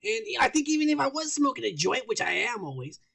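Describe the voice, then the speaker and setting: A man co-hosting a podcast, rambling in crude, profane casual chat while high and drinking. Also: big pitch swings, fast, vocal fry, bright, forceful tone, breathy edge. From United States. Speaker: podcast co-host.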